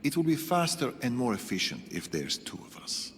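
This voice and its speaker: An actor playing a stern, calculating rebel strategist in a video game, speaking in a measured, commanding way. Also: male voice, medium pitch, huge pitch swings, a little hiss or hum. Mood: stern, purposeful. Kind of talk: video game cutscene acting. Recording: long reverberant hall, music behind.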